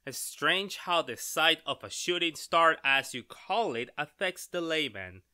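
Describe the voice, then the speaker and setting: An actor playing an arrogant, excitable male scientist, condescending and theatrical, in an old-style radio drama. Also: a little high, measured pace, exaggerated voice. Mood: smug, musing. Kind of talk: retro 1930s radio drama acting.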